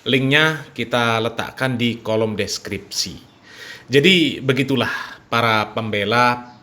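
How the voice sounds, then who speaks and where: A man's voice: mid-range voice, bright, forceful tone. A man giving commentary to viewers as a channel narrator.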